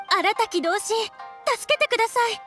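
Casting: prim, worried young granddaughter, very high feminine voice, game dialogue. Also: female voice, expressive, moderate pace, fairly bright tone, soft background music. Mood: distressed, polite. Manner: polite, pleading feminine speech. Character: polite, anxious young townswoman, devoted granddaughter. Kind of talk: video game voice acting.

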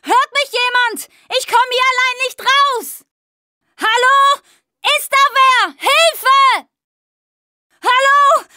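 Tough young zombie survivor girl, video game dub, high voice, dry close recording, wry and clipped. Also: takes it slowly, long pauses, pushed and bright, no echo, heavily acted. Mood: desperate calling. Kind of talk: German video game dub, short combat callouts.

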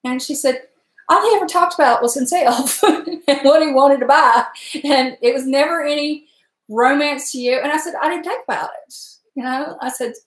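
A chatty woman in her forties with a Blue Ridge Virginia drawl, rambling warmly to her live-stream viewers as she stirs a pot. Her voice sits a little high, and the kitchen around her rings with echo. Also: normal speed. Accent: Appalachian Virginia. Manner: folksy, rambling, talks to chat viewers. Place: home kitchen at night. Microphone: webcam at stove. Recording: echoey kitchen.

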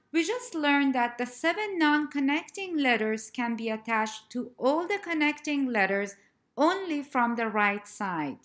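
A woman reads a scripted language lesson at an unhurried, deliberate pace. Her voice sits a little high, and she spells out each letter name with care, like a patient teacher. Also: a bit bright. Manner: slow, careful, clearly spelled-out letter names. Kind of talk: scripted narration of a slide lesson.

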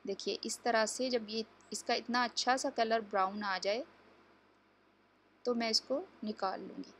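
A woman's voice: a little high, steady tone, measured pace, fairly bright tone, a little hiss or hum. A woman hosting a home cooking tutorial, explaining each step to viewers in a chatty, instructive way. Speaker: cooking channel host. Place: home kitchen.

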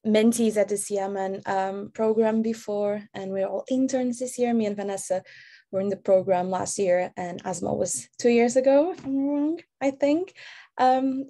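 A woman's voice: medium pitch, mellow. A woman in her twenties from Portugal with a Portuguese accent, chattily introducing herself as an intern on a video call, full of "like" fillers. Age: 20s.

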